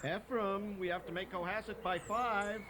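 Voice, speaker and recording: A man playing a folksy, brisk carriage driver of Civil War days in a radio drama, hearty and businesslike. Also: high voice, fairly even intonation, pushed and bright. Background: horse and carriage sounds.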